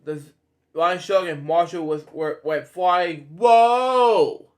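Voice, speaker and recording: A man hosting a read-aloud of children's stories in a casual, halting, rambling way with off-the-cuff asides. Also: high voice. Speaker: host reading bedtime stories.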